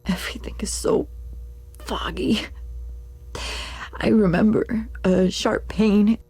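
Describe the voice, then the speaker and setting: A woman voicing a drugged, remorseful police sergeant in a video game, husky and halting, slipping into near-whispers. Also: medium pitch, fairly even intonation, dark tone, exaggerated voice. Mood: dazed, fearful. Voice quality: husky, breathy.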